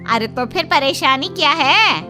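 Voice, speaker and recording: A woman playing a chatty, rueful middle-aged auntie in a Hindi cartoon story, with gossipy, drawn-out exclamations. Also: sits high, lively intonation, over music.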